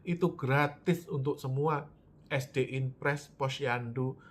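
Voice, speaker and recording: A man's voice: medium pitch, steady tone, takes it slowly, slight background noise. A man from Java, giving an emphatic lecture-style political talk, asking rhetorical questions and answering them himself. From Java, Indonesia.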